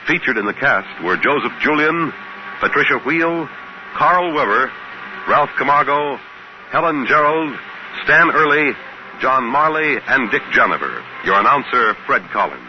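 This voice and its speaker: A man's voice: medium pitch, expressive, a little slow, slight background noise. A man working as a radio announcer, reading scripted credits in 1950s broadcast style on a muffled old recording.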